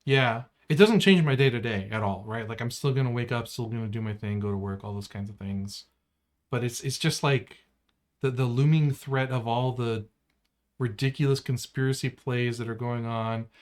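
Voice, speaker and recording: A man's voice: medium pitch, moderate pace. A man with an American accent co-hosting a podcast in casual, slangy banter peppered with "like" and "man". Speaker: podcast co-host.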